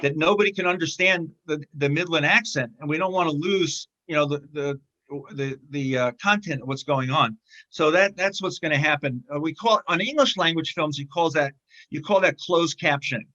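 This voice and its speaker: A fairly high, bright-voiced man talks things through in a halting way, filling pauses with lots of ums and uhs. The sound is dull and lo-fi, like audio from a video call. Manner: halting, many fillers and restarts. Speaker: film distributor, interview guest. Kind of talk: casual interview conversation.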